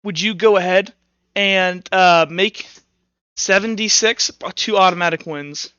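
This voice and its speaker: A man with a high, crisp voice acts as game master for a tabletop roleplaying game. He improvises in an informal, halting way, thinking aloud as he goes. The recording is dry and slightly dull. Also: sharp tone, no echo.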